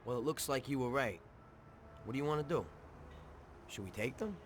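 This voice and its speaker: An actor playing a greedy, chummy small-time American mobster in a 1930s crime game, with slangy, cajoling streetwise talk. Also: male voice, medium pitch, lively intonation, normal speed, slight background noise, natural voice. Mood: tempted, coaxing. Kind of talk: video game cutscene acting.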